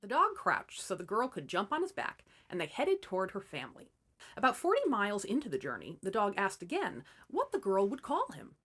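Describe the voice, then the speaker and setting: A woman's voice: medium pitch, wildly swooping pitch, brisk, fairly bright tone, breathy edge. A woman hosting and narrating fairy tales as scripted comedy, with wry, irreverent asides. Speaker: host and storyteller.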